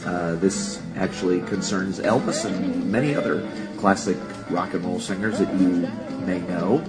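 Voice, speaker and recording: A man's voice: low voice, poor audio. A man presenting a history segment as narration for listeners, with a showy, playful delivery. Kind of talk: scripted-style narration for listeners. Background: music with plucked guitar.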